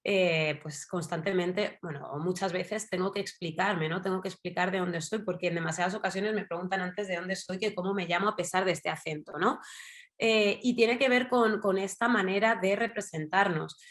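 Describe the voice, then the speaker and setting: A woman's voice: lowish voice, normal speed. A woman from Spain with a Madrid accent, speaking as a journalist guest in an online panel, thoughtful and digressive, full of fillers and tag questions. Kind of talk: casual talk in an online panel.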